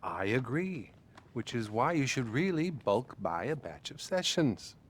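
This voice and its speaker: Patronising male therapist, lively intonation. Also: mid-range voice, measured pace, a little hiss or hum. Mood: blunt, judgmental. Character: male therapist, patronising, bluntly judgmental, greedy. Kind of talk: video game cutscene acting.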